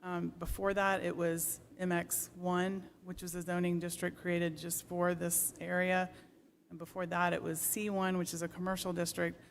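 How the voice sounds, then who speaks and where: A city planning staffer explaining regulations at a public meeting in a measured, careful, explanatory way. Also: medium pitch, monotone, creaky. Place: public commission meeting room. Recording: noisy meeting room ambience.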